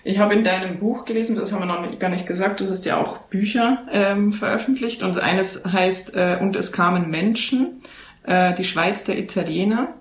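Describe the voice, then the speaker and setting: A woman's voice: medium pitch, normal speed, reverberant. A woman interviewing a guest, thinking aloud with frequent fillers as she shapes her questions. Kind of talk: conversational interview.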